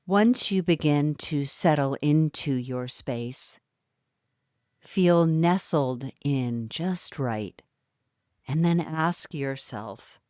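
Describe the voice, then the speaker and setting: Slow, low-voiced woman guiding meditation. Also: clean tone, no room sound, studio quality. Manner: slow, soft and soothing, with long pauses. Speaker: meditation guide. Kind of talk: guided yoga nidra meditation.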